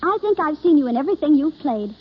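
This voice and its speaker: A woman's voice: high voice, lively intonation, fast. An actress playing an earnest, starstruck young woman in old radio drama style, gushing and hesitant. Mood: adoring. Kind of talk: 1930s American radio acting. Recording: muffled old radio broadcast.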